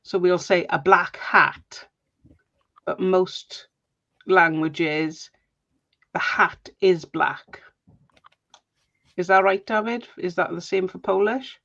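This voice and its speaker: A Welsh woman talks slowly through a crafting livestream, her voice carrying a gravelly fry. She greets viewers and chats in a friendly, chuckling way. The stream audio is dull and lo-fi. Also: fairly low pitch, fairly even intonation, fairly bright tone. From Wales. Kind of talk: casual chat while crafting.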